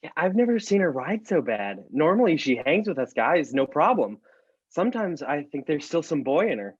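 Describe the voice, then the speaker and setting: A man playing a sheepish, tactless teenage boy in a screenplay table read, halting and eager to please. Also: a little high, big pitch swings, husky, no room sound, highs cut off. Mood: casual. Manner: halting, backpedaling.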